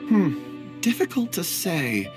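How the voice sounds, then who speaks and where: A man playing a thoughtful, polite young scholar of rocks in a video game, musing and measured with a hesitant manner. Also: sits high, very animated, full character voice. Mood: musing, calm. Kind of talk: video game character acting. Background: background music.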